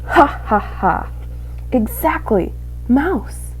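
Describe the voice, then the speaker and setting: Girl reading as a creepy one-eared dungeon rat guy, slow n gleeful, bit of background noise. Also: sits high, animated, pitched above the performer's own voice, full character voice, poor audio. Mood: gleeful relish. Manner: savors words slowly, theatrical self-questioning.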